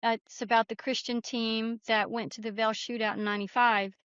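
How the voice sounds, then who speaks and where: A woman's voice: a little high, flat delivery, a little fast, fairly bright tone, clean tone, no room sound. A woman author chatting informally as an interview guest, self-effacing, on a slightly dull recording. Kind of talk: casual conversational interview.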